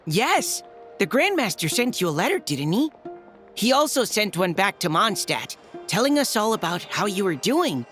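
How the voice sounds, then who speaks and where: A woman's voice: mid-range voice, sing-song, normal speed, a bit bright, soft background music. A woman voicing an earnest, slightly flustered young knight courier in a video game, polite and hesitant. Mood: earnest, reassuring. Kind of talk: anime-style video game voice acting.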